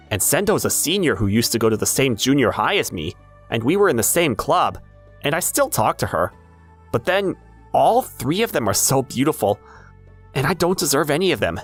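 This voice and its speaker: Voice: medium pitch, husky. A performer playing an airheaded, easygoing teenage schoolboy, narrating in a self-deprecating anime dub style.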